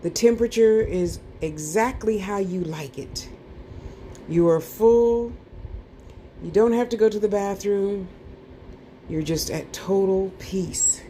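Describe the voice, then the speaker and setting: A woman guides a meditation at a very unhurried pace in a soft-edged, mellow voice. She is soothing and informal, slipping in playful asides and repeating short phrases to lull the listener. There is some background noise on the recording. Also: mid-range voice. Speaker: guided meditation class teacher. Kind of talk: guided meditation, relaxation instructions.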